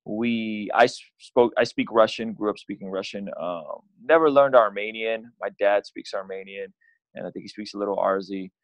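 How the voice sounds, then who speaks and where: A man's voice: lowish voice, steady tone, moderate pace, dry recording, low-quality recording. A young man from Azerbaijan, a guest on a podcast, talking casually and rambling with lots of "you know" and "like.". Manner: rambling, restarts often, leans on "you know" and "like". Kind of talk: casual interview chat.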